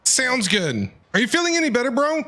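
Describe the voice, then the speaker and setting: A man's voice: extremely high pitch, huge pitch swings, a little fast, bright, forceful tone. A man giving casual, enthusiastic reaction commentary while streaming a game, chatting back to the characters.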